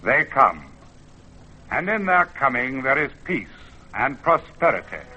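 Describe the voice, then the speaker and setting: An actor playing the ancient spirit of a mountain in an old-time radio drama, grand and poetic, with solemn theatrical declamation. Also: male voice, mid-range voice, very animated, unhurried, sharp tone, heavily acted. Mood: grand, reverent. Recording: dull vintage radio recording, faint music.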